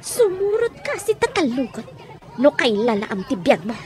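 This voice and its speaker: A woman's voice: high voice, sing-song, moderate pace, a little mellow, soft background music, a faint crowd. A woman acting a menacing, commanding kidnapper in a radio drama, with an Ilocano Filipino accent. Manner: commanding, interrogating. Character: menacing woman kidnapper threatening her captive with a knife.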